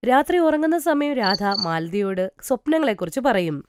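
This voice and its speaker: A woman's voice: on the high side, animated, normal speed, background noise. A woman narrating a scripted moral story for a cartoon.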